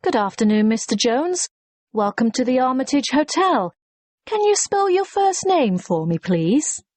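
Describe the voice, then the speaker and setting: A woman's voice: sits high, very animated, measured pace, a little mellow, dry recording. A woman playing a courteous, efficient hotel receptionist in a scripted listening-test dialogue, formal and helpful.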